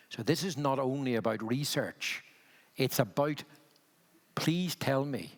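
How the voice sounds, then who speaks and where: A man's voice: medium pitch, long reverb tail. A man in his sixties with a Belfast accent, speaking candidly and wryly on a conference panel, often restarting his sentences. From Northern Ireland. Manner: candid, wry, frequent restarts.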